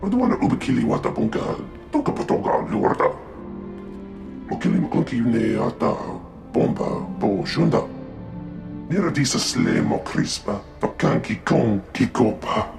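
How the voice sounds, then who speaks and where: Gravelly, swooping alien creature. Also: male voice, mid-range voice, moderate pace, exaggerated voice. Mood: animated. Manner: animated, swooping. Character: gravelly male alien speaking an invented tongue. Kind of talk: invented alien language game acting. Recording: music behind the voice.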